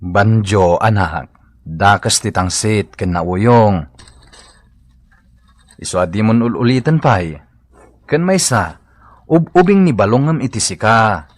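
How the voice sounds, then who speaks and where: A man's voice: fairly low pitch, animated, slow pace, pauses often, dry recording. A man playing a principled father in a radio drama, earnestly lecturing and admonishing his son. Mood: stern lecturing. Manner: admonishing, moralizing. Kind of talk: radio comedy drama acting.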